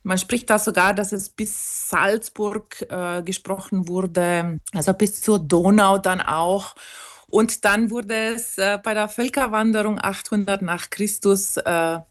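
A woman's voice: mid-range voice, steady tone, measured pace. Hesitant woman. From Val Badia, South Tyrol, Italy. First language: Ladin. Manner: thoughtful, with frequent hesitations. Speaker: guest musician. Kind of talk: interview answers.

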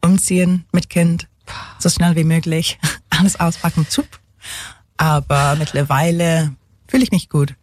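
A woman's voice: low voice, steady tone, measured pace, a little mellow, dry recording. English woman speaking German, hesitant. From England. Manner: hesitant, many fillers, mixes in English words. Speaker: guest, single mother. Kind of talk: casual interview.

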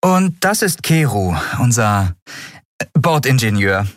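A man voicing a young woman captain who speaks in a matter-of-fact, brisk way. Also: mid-range voice, very animated, dry recording, studio quality, voice pushed up. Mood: firm. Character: young woman airship captain, dry and firm.

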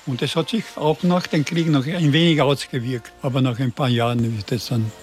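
Halting elderly man with slight accent. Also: mid-range voice, fairly even intonation, soft background music. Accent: slight Burgenland Croatian. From Burgenland, Austria. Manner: halting, repeats and restarts words. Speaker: interviewee. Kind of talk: conversational interview.

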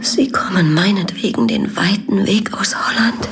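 An actress playing a gravely ill young woman in a radio play, weak and halting. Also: mid-range voice, in a whisper.

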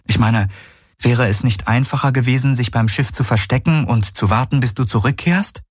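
A man's voice: mid-range voice, normal speed, slightly rough, no room sound, clean studio sound, pitched above the performer's own voice, lightly acted. A man voicing an earnest, resilient and worried woman space traveller in a dramatised audiobook, speaking emphatically. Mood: puzzled, urgent.

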